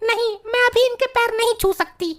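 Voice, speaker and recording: Casting: theatrical scolding cartoon mom, extremely high voice, clearly noisy track. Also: female voice, normal speed. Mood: insistent. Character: angry, dramatic cartoon wife and mother, vain about hair. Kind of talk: Hindi comedy cartoon voice acting.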